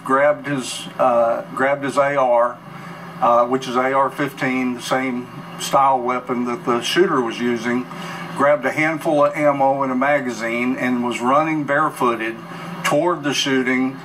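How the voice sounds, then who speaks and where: A man in his sixties with an American accent, recounting events as an interview guest in a sober, halting, matter-of-fact way. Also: medium pitch, steady tone, a bit bright. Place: news bureau studio, replayed on a TV in a room. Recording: distant TV filmed off screen, clear noise.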